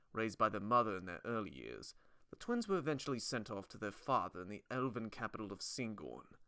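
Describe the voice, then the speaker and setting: A man gives scripted, dramatic fantasy narration for a fan dub. His voice is mid-pitched and bright, recorded very dry. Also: no echo.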